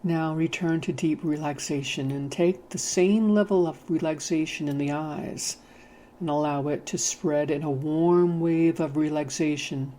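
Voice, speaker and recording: A narrator leading a hypnotic guided meditation in a soothing, measured, gently instructing manner. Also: medium pitch, slight background noise.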